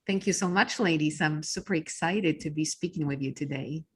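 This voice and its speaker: A woman's voice: sits low, moderate pace, husky. A middle-aged woman from Poland with a Polish accent, a guest telling her story in a casual interview with many ums and uhs. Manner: frequent fillers, earnest storytelling. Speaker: podcast guest, business coach.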